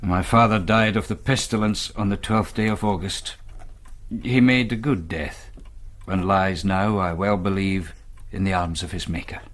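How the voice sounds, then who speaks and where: An actor playing a troubled, bookish young Presbyterian minister in a period radio drama, speaking in formal, scholarly, archaic phrases. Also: male voice, deep voice, steady tone, measured pace, fairly bright tone. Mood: weary, reflective.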